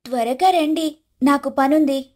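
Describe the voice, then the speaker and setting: A woman's voice: high voice, measured pace, darkish tone. A woman voicing a spiteful young daughter-in-law in a cartoon story, curt and defiant. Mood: impatient.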